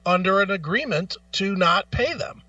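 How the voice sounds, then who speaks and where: High-pitched, blunt, ranting man. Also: lively intonation, moderate pace, bright, forceful tone, dry recording, distant mic, lo-fi sound. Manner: blunt, profane, argumentative, emphatic; repeats phrases for emphasis. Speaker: talk show host. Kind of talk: opinionated music talk, ranting.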